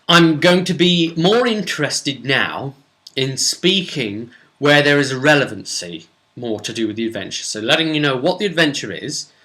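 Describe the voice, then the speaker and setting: A man's voice: medium pitch, pushed and bright. A man with a British accent hosting a casual, unscripted tabletop role-playing recap as game master, chatty and rambling. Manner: rambling, chatty, acts out bits of dialogue.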